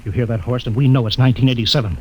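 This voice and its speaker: An actor playing a stern, logical spaceship captain who gives clipped commands, in a 1950s radio drama. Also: male voice, mid-range voice, fairly even intonation, rapid-fire, close to an everyday voice. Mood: reasoning. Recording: old radio broadcast with faint hiss.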